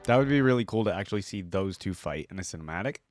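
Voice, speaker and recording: A man's voice: sits low, animated, fast talker, soft background music. A young man hosting a reaction video, commenting casually in an amused, informal way.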